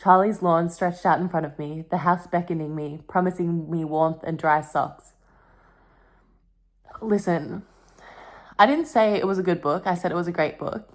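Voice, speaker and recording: Youngish woman on a confessional vlog, kinda low voice, dramatic and wry. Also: moderate pace, pauses often. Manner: melodramatic, wry, self-mocking, swears freely. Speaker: vlogger. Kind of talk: casual confessional vlog with dramatic book reading.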